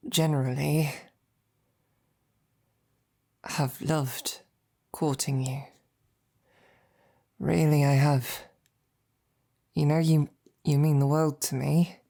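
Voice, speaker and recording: A woman's voice: low-pitched, little pitch movement, vocal fry, exaggerated voice. A woman playing a devoted, tender female knight in an intimate audio roleplay, speaking to her princess in a hesitant, earnest manner. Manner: hesitant, halting, softly earnest. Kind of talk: intimate scripted roleplay monologue to the listener.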